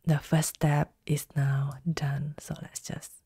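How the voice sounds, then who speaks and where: A woman giving calm, step-by-step instructions in a screen-recorded software tutorial. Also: deep voice, vocal fry, husky. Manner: calm step-by-step instructions, slow deliberate pacing.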